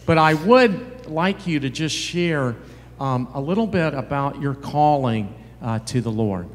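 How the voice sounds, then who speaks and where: A man's voice: fairly high pitch, animated, unhurried. An older man introducing and interviewing guests as the host before a church congregation. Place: large church sanctuary. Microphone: handheld microphone. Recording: reverberant church hall.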